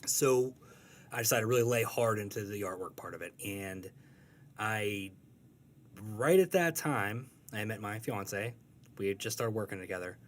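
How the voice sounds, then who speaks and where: A man's voice: lowish voice, normal speed. Man, young adult, comic strip creator, casual interview guest, rambling, self-deprecating, faint background hum.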